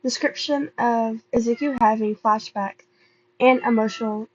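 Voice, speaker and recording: A woman reading fan fiction aloud as an amateur narrator, halting and often stumbling over and repeating words. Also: a little high, fairly even intonation, a little mellow, highs cut off, slight background noise. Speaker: amateur podfic reader.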